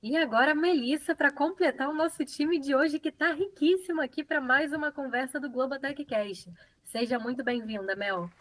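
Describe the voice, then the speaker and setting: A woman's voice: high voice, moderate pace, few pauses, dry recording. A woman hosting a tech podcast panel, introducing guests in a friendly, upbeat way. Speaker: podcast host. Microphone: remote call.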